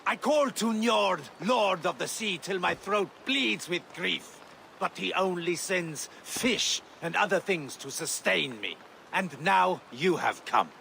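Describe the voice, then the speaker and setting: A man's voice: sits high, lively intonation, takes it slowly, gravelly, sharp tone, slight background noise, full character voice. A man playing a grief-stricken exiled Norse soldier who longs for death, declaiming in archaic lines in a video game. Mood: anguished lament. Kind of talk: dramatic video game acting.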